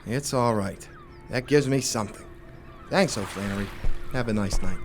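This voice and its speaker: A man's voice: lowish voice, moderate pace, lightly acted. A man playing a hard-boiled, world-weary 1940s private investigator in a noir radio drama, with a wry, brooding manner. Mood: probing. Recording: roomy, distant microphone, music underneath.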